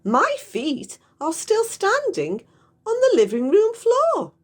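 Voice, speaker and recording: Woman doing a shrill, demanding, cackling old granny. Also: huge pitch swings, takes it slowly, full character voice. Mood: gleeful, boastful. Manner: cackling, shouting.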